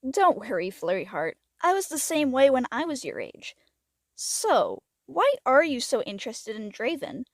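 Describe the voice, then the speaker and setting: A very high, lively voice from a woman playing a bookish pony princess aunt in a cartoon audio drama. She explains and reassures with animated swings of pitch, in a close, dry recording. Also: normal speed, dry recording, exaggerated voice. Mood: reassuring. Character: bright scholarly adult pony princess, encouraging aunt.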